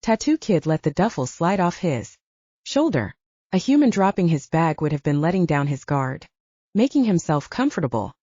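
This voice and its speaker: A woman narrates fiction in a lower-pitched voice with plenty of melodic movement. Her tone is mellow rather than bright, and the dry, slightly dull recording keeps the scripted first-person storytelling close. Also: big pitch swings, moderate pace, dry recording. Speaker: audiobook narrator.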